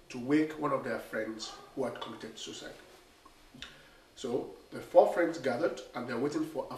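A man's voice: medium pitch, far from the mic. A man in his forties with a cold, talking nasally and animatedly straight to the camera on a small theatre stage. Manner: animated storyteller, gestures with his hands. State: has a cold. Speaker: playwright and director. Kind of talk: direct-to-camera talk about his play. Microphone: camera mic from a few metres. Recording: echoey room, voice from off-mic.

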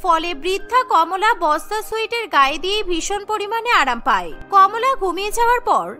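A woman's voice: extremely high pitch, sing-song, a little fast, bright, forceful tone. A woman narrating a scripted cartoon story. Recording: music under the voice.

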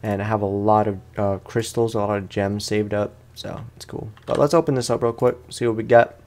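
A man's voice: on the low side, fairly even intonation, fairly quick, a little mellow. A man doing casual let's play commentary, talking in an informal, slangy way and often repeating his phrases. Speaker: gaming streamer.

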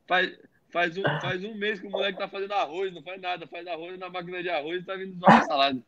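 A man rattles off quick, teasing banter on a video call, his voice sitting a bit high. The audio is dull and lo-fi, as from an earphone mic. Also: steady tone, fairly bright tone, no room sound. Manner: fast, teasing, joking banter. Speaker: podcast co-host. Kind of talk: casual chat on a video call.

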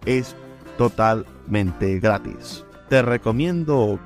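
A man with a Venezuelan Spanish accent hosting radio in a measured, explanatory manner. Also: lowish voice, animated, faint music behind. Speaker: radio host. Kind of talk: radio literary commentary and promo reads.

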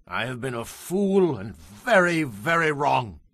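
A man's voice: medium pitch, big pitch swings, takes it slowly, a bit bright, no room sound, clean studio sound, exaggerated voice. An actor playing a man of ancient Israel in a dramatized audio Bible. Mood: remorseful. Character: men of ancient Israel: soldiers, messengers, a king.

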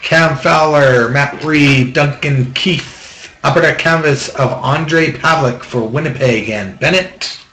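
Slow-spoken man reading out trading cards. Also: a little high, pushed and bright. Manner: quick list-reading with offhand jokes and complaints. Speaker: box break host. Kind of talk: reading out trading cards while opening packs. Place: small room at home, table. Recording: echoey room, faint background noise.

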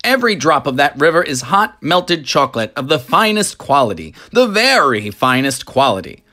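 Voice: medium pitch, very animated, normal speed, pushed and bright. A narrator voicing a flamboyant, boastful man who owns a storybook factory, theatrical and full of exclamations. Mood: delighted, boastful.

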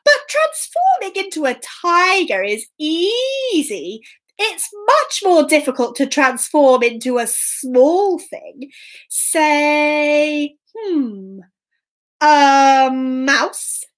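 Woman doing a clever bold storybook cat, really high voice, swoopy and coaxing, drags out the words. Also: very animated, exaggerated voice. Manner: exaggerated, drawn-out, coaxing delivery. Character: clever, bold talking cat, wheedling and theatrical.

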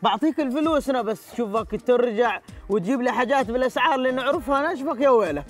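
A man's voice: very high voice, very fast, soft background music. A man with a Yemeni accent hosting a street quiz, playfully teasing passers-by into guessing. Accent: Yemeni Arabic. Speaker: host. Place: outdoor street in a town. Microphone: handheld mic.

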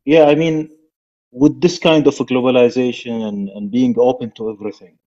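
A middle-aged man hosting a podcast over a video call on a headset, asking questions in a halting way with many fillers. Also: medium pitch, steady tone, dark tone, muffled recording. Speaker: podcast host. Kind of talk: interview questions.

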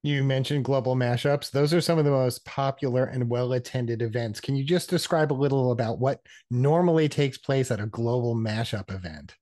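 A man's voice: medium pitch, moderate pace, hardly any pauses, mellow. A man hosting an interview, asking questions in a friendly, conversational way. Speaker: interview host.

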